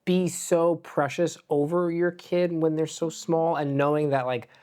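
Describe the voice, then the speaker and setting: A man's voice: fairly high pitch, steady tone, unhurried, a little mellow, clean tone. A man co-hosting a casual panel chat, talking loosely and peppering his speech with filler words. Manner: loose and chatty, filler "like" everywhere. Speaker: co-host.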